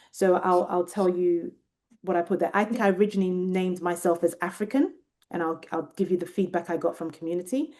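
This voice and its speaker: A woman with a British accent and a somewhat low, mellow voice. She talks through ideas in a considered way and circles back to rephrase. Her delivery comes across as reflective and unhurried in thought. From Nigeria and Britain. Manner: thoughtful, searching for words, many restarts. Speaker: guest researcher. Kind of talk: reflective interview conversation.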